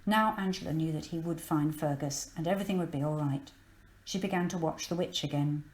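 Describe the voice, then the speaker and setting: A woman's voice: low-pitched, fairly quick, a little mellow, echoey room, rough recording. A woman narrating a children's story from a script, expressively and with dramatic emphasis. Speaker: storybook reader.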